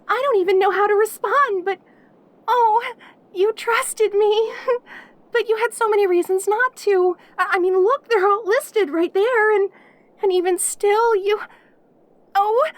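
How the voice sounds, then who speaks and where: A woman's voice: very high-pitched, no echo. A woman voicing a perky, lonely yandere demon girl in an intimate audio roleplay, eager and stammering as she dotes on the listener. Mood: overjoyed, tearful. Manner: eager, stammering, giggly, devoted.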